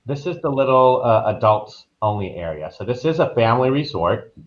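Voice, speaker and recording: A man's voice: medium pitch, sing-song, normal speed, dull audio. A man speaking as a guest travel professional in a casual interview, explaining things informally.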